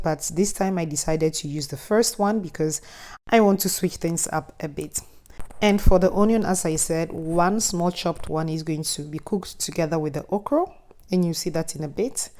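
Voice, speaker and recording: Friendly Ghanaian woman with a mellow voice. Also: fairly low pitch. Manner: friendly, instructive, chatty asides. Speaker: cooking channel host. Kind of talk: cooking tutorial voice-over.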